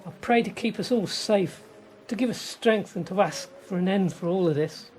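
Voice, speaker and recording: A woman's voice: mid-range voice, a little mellow, natural voice. A woman playing an earnest, frightened young male First World War soldier in a radio drama. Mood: wistful. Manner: halting, earnest confiding. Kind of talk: radio drama monologue and dialogue. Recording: noisy ambience behind the voice.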